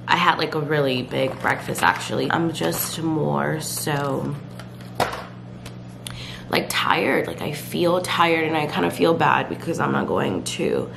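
A woman's voice: vocal fry, background noise. A young woman in her twenties vlogging at home with a cold, sounding stuffed up, tired and rambling, talking to a camera held at arm's length. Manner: tired, low-energy, rambling with many fillers. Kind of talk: casual vlog chat to camera. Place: bedroom and then a kitchen at home, indoors. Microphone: handheld camera at arm's length.

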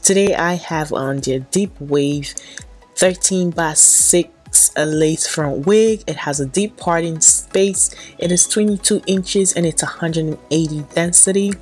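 A woman's voice: lowish voice, a little slow, darkish tone, faint music behind. A young woman with a stuffy, nasal voice from a cold, chatting casually to camera as she talks through a hair tutorial. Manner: chatty, repeats "so yes" and "going in". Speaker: beauty vlogger. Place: small indoor room, plain backdrop.

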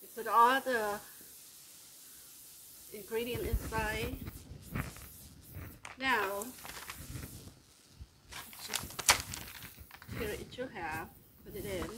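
A middle-aged woman talks through a recipe step by step in a home kitchen, her voice a little high. The camera sits at a distance, and clear clatter and kitchen noise sound behind her. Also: extremely slow, long pauses. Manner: plain, step-by-step explaining while cooking. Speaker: cooking show host. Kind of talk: casual cooking demonstration.

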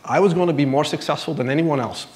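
A man's voice: on the high side, quick pace, a little mellow. A man in his thirties from Belgium, telling his personal faith story from a church stage in an earnest, lightly self-deprecating way, speaking into a lapel mic. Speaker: church member giving testimony. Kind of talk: personal testimony from a pulpit.

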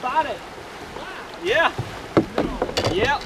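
A man in his thirties vlogging with excitement while fishing from a kayak on a river, switching between Spanish and English. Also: very high voice, drawn-out words. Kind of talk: casual vlog narration while fishing. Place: outdoors on a river, wading and kayaking. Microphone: action camera on a kayak. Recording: noisy outdoor river audio.

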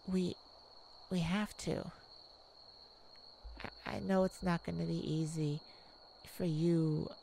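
A woman playing a tenderhearted warrior mage in a soft ASMR romantic roleplay, speaking in a hushed, soothing, halting way. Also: sits low, gravelly, a little hiss or hum, exaggerated voice, rough recording. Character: tenderhearted young warrior mage comforting a werewolf lover. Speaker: audio roleplay performer.

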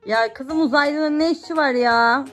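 A woman's voice: high-pitched, a little slow, over loud music. A mother playing make-believe with her child at home, with exaggerated alarmed gasps and cries. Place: family home, indoors.

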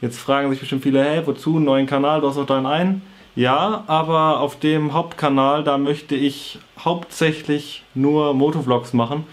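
A man's voice: on the high side, fairly even intonation, normal speed. A young adult man with a cold with a sore throat, talking casually to camera in an informal, slangy, offhand way. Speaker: vlogger. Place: small bedroom with computer desk, indoors.

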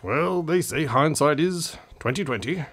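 An actor playing a weary male politician in his fifties who talks gruffly and swears often. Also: a little high, lively intonation, a little slow, fairly bright tone, heavily acted. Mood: rueful. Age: 50s. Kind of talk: radio comedy acting.

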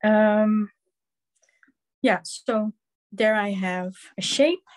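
A woman with a Dutch accent leads a live online craft class, speaking slowly and haltingly as she works. Her pitch sits at an ordinary middle level, and she often stops to fill the gaps with ums while her hands are busy at the table. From Netherlands. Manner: slow, halting, many ums, hands-on explaining. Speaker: craft instructor.

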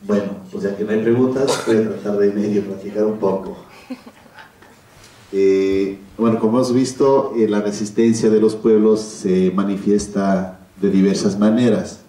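Middle-aged Mexican male panelist, measured and hesitant, dark low tone, distant hall recording. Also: fairly even intonation. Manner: measured, hesitant, pausing often. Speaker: panel speaker. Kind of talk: public round-table talk. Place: cinema auditorium stage. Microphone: handheld PA microphone, filmed from audience. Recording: echoey hall, distant camera microphone.

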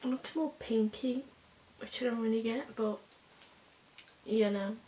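A young woman with a hoarse voice from a cold, chatting casually through a makeup tutorial with halting, filler-heavy talk. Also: a little high, steady tone, dark tone, reverberant, slight background noise, poor audio. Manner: rambling, halting, with many fillers. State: hoarse, recovering from a cold, coughing. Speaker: beauty vlogger. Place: small bedroom indoors.

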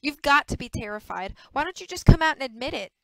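A woman's voice: a little high, a little fast, smooth, no echo, natural voice, low-quality recording. A woman voicing a proud, fiery young soap opera actress in an audiobook. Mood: angry. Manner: sharp, forthright, dramatic.

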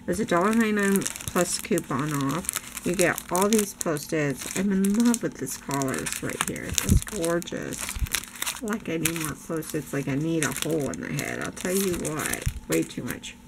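A woman's voice: sits low, very animated, moderate pace. An older woman in a casual craft haul vlog, chatty and enthusiastic, losing her voice, filmed over her craft table at home. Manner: chatty, enthusiastic, frequent laughs. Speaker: crafting vlogger. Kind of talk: casual haul show-and-tell. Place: craft room table, indoors. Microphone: camera above a craft table. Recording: noisy home recording.

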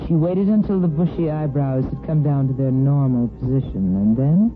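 A woman's voice: very deep voice, normal speed. A woman hosting and narrating a radio soap opera, reading dramatic scripted story passages. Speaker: narrator and host. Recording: tinny vintage radio recording with music.

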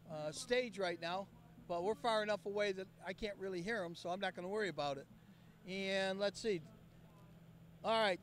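A chatty man hosts a live stream outdoors on a handheld mic, his voice worn down by a cold. He sits very high in pitch. Faint event noise runs behind him. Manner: chatty and upbeat, coaxing guests. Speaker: host. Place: outdoor park event beside a van.

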